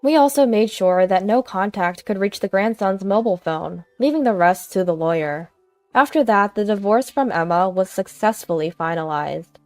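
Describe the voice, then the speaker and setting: A woman's voice: medium pitch, expressive, moderate pace, darkish tone, dry recording. A woman narrating a scripted first-person family story. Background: background music.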